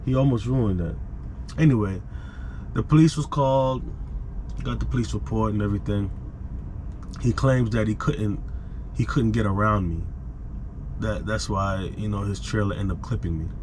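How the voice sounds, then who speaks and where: A man's voice: on the low side, normal speed, long pauses, darkish tone, a little hiss or hum, low-quality recording. A man who vlogs about truck driving, telling a story casually, repeating phrases for emphasis, recorded on an action camera microphone. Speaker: vlogger, truck driver.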